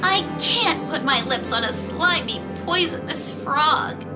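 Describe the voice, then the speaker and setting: A girlish fairy queen in a fantasy game. The voice sits very high and moves with lively swings, yet it is slow and hesitant. The character comes across as earnest and easily flustered. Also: loud music behind the voice. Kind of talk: scripted video game voice acting.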